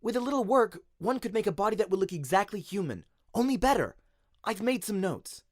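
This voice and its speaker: A man's voice: high voice, expressive, a little fast, no room sound, studio quality, voice pushed up. A man voicing an eager, naive young boy apprentice, earnest and insistent, in a radio-play style story.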